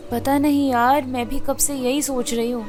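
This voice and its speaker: A woman acting a chatty, joking, easily flustered young woman in an audio drama, informal and expressive. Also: sits high, moderate pace, music in the background, close to an everyday voice. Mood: puzzled.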